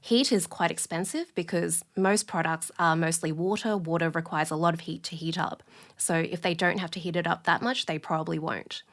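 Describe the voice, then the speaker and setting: A woman's voice: fairly low pitch, fairly even intonation, normal speed, a bit bright. A woman scientist giving plain-spoken explanations of technical points as an interview guest.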